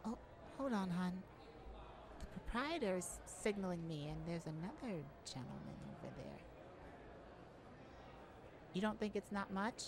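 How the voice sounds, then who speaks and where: Woman playing a slow, sweet-talking male lounge singer. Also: medium pitch, very animated, background noise. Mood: nervous, polite. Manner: hesitant, giggly, sweet-talking. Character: Kyle, affectionate young male bar singer, modest and excitable. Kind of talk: intimate ASMR roleplay.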